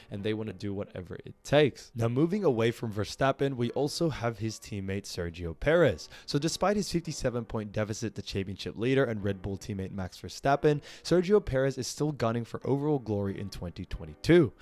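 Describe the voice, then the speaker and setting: A man's voice: medium pitch, darkish tone. A man presenting motorsport news, mixing scripted narration with casual commentary.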